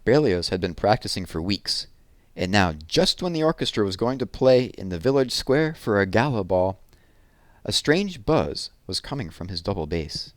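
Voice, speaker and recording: Male children's read-aloud host, lower register, expressive and deliberate, conversational asides, dry close sound. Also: no room sound. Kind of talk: storytelling read-aloud of poems and picture books.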